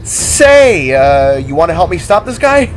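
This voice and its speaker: A man voicing a flustered young hero who shouts and stammers in panic during an attack. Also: a little high, sing-song, unhurried, faint music behind, voice pushed up. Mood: panicked.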